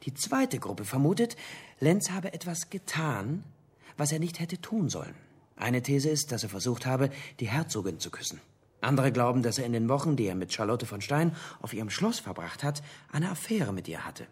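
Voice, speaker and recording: An actor playing a male literary scholar in a radio crime drama, explaining theories in a husky, didactic way. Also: mid-range voice, big pitch swings, normal speed. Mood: explaining, intrigued. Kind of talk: German radio play dialogue.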